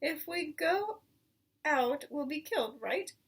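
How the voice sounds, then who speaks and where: A woman's voice: high voice, lively intonation, slow pace, far from the mic, voice pushed up, exaggerated voice. A woman voicing a proud male fox leader giving an emphatic speech in a children's storybook. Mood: earnest, rallying.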